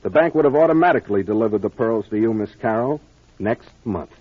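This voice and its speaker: Husky, confident radio detective, muffled vintage sound. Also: male voice, fairly low pitch, expressive, fast talker, no echo. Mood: explaining. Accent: American. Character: confident male private detective, wry, commanding explainer. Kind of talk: 1940s radio drama acting. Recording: dull, muffled old-time radio recording.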